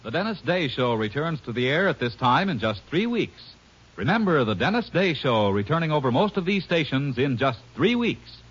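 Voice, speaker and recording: Animated, husky male promo announcer. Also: fairly high pitch, normal speed. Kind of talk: 1950s radio promo announcing. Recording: muffled old radio recording.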